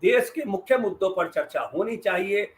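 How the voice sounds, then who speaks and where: A man's voice: high-pitched, expressive, normal speed. A middle-aged man gives sarcastic, emphatic political commentary as a journalist on a debate panel. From western Uttar Pradesh, India.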